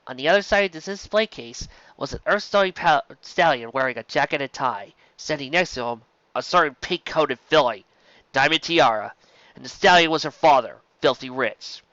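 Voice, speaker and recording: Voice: mid-range voice, expressive, normal speed, fairly bright tone, no room sound, dull audio. A narrator reading a story aloud from a script. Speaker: audiobook reader of fan fiction.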